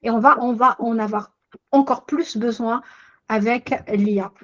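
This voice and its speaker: High-voiced older woman hosting a webinar. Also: fairly even intonation, measured pace, muffled recording. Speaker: webinar host. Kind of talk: webinar discussion, summarizing.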